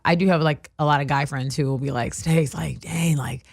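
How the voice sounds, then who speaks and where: A woman talking as a talk show guest in a casual, animated, slangy way, often acting out little imagined exchanges. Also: low voice, normal speed, gravelly. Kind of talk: casual conversational chat.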